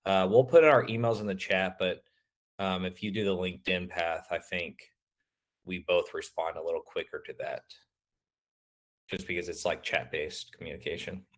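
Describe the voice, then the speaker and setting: A man presenting a webinar, his voice a little raspy and hoarse, talking casually with many fillers. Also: low-pitched, fairly even intonation, moderate pace. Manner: casual, filler-heavy, loose explanations. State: slightly hoarse.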